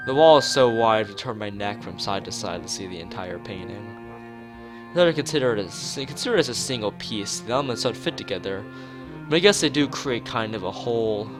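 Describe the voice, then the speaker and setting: Low-voiced man reading a visual novel aloud. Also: animated, fairly quick. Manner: stumbles over words and rereads them. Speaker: streamer. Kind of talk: live read-aloud of a visual novel with chat asides. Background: guitar music.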